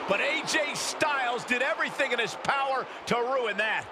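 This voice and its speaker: A man's voice: very high-pitched, normal speed. A man playing a dramatic ringside wrestling commentator in a video game. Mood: dramatic, urgent. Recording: loud arena noise, slams.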